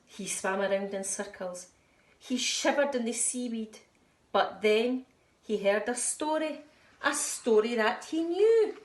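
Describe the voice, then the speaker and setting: Woman teacher reading a picture book to little kids, sing-song, highish, echoey room. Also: takes it slowly. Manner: expressive, sing-song storytelling. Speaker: nursery teacher reading aloud. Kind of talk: storybook read-aloud for young children.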